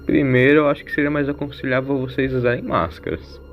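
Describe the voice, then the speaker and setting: A man's voice: medium pitch, fairly even intonation, quick pace, muffled recording, faint music behind, pitched above the performer's own voice, natural voice. A man voicing a sarcastic, embittered and preachy wanderer in an audio story. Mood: sardonic, indignant.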